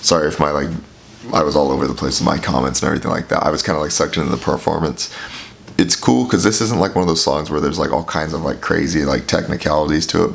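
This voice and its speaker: Very deep, gravelly, hoarse man. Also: a little hiss or hum. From California. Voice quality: raspy. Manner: rambling, filler-heavy explaining. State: hoarse from allergies. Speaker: music reaction host. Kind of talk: casual vocal analysis commentary. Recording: music playing behind voice.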